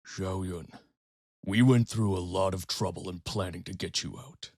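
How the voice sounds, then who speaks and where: Man playing stern samurai warrior, slightly husky. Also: sits low, fairly even intonation, slow pace, a little mellow, dry recording, studio quality, heavily acted. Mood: weary, urgent. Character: stern, pragmatic male samurai warrior companion. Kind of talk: fan audio drama acting.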